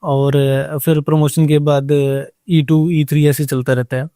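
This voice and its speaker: A man explains his work in an informal interview. His voice sits a bit high and is recorded close and dry. He feels his way through explanations respectfully, slipping in English words. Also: level tone, measured pace, mellow, no echo. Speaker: air traffic controller being interviewed.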